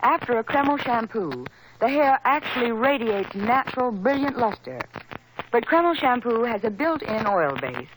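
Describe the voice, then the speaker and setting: A woman's voice: on the high side, sing-song, measured pace. A woman acting as a poised beauty adviser in a 1940s radio commercial, polished and emphatic, on an old recording. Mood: poised, selling. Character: poised female beauty adviser praising a product. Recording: noisy 1940s radio broadcast with clicks.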